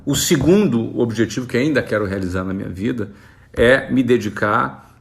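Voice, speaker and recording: A Brazilian man in his forties, an entrepreneur talking casually to camera and answering follower questions in a relaxed, conversational way. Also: medium pitch, normal speed, gravelly. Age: 40s. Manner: relaxed, conversational, with frequent fillers.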